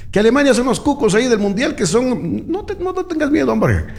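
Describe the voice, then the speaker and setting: Fast-talking, jokey radio host. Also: male voice, very high voice, sing-song, gravelly. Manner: jokey, teasing, repeats words. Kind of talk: joking radio banter.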